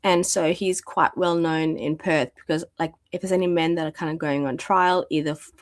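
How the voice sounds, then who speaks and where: A woman's voice: low-pitched, fairly even intonation, moderate pace, no room sound. Woman losing her voice, chatty, lots of ums, dry close sound. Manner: chatty, many fillers and restarts. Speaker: guest, domestic violence practitioner. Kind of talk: conversational interview storytelling.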